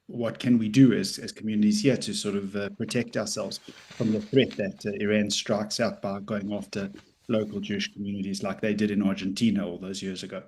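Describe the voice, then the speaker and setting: A man from South Africa, the host, asking a halting question full of fillers and restarts. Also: on the low side, flat delivery, a little mellow, slight background noise. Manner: hesitant, many fillers and restarts.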